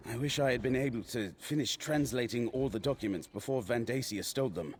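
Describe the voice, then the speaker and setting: A man's voice: mid-range voice, darkish tone. A man voicing a genial imperial scribe and former adventurer in a fantasy video game, conversational and slightly formal.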